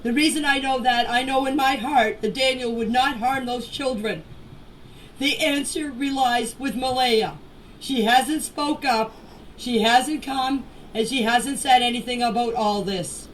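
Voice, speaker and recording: A woman's voice: sits high, unhurried. A woman giving an unscripted personal statement in voice messages, plainspoken and emphatic, repeating phrases for stress. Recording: noisy voice message, distant from mic.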